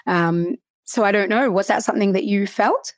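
A woman from the Middle East hosting a podcast interview, curious and playful with quick follow-up questions. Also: medium pitch, no room sound, studio quality. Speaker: podcast host. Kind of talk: casual interview chat.

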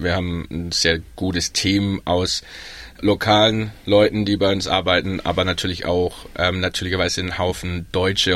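A young man with a Baden German accent, chatting casually on a panel with frequent ums. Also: sits low. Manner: relaxed, chatty, many ums. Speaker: guest, pub worker in Melbourne.

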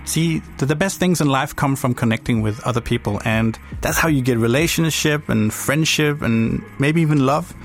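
A young Danish-accented man hosts his podcast in scripted narration, reflective and gently playful, at an ordinary mid pitch. Faint music sits underneath his voice. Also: normal speed, few pauses. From Denmark. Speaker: podcast host.

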